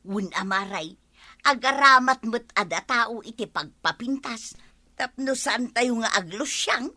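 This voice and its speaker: A woman's voice: on the high side, lively intonation, slow pace, pushed and bright, no echo, exaggerated voice. A woman with an Ilocano Filipino accent playing a vain, scheming housewife in a comedy radio drama. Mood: chatty. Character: vain, scheming housewife keen on beauty products.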